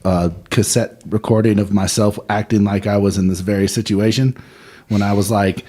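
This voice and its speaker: A man in his mid-thirties, a guest musician on a radio show, chatting in an excitable, joking way. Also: on the low side, normal speed. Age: 30s. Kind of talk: casual chat.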